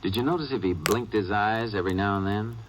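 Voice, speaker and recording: An actor playing a calm, terse Texas Ranger in a radio crime drama, narrating and investigating in a matter-of-fact way. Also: male voice, lowish voice, fast, close to an everyday voice. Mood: calm, businesslike. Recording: noisy old radio drama recording.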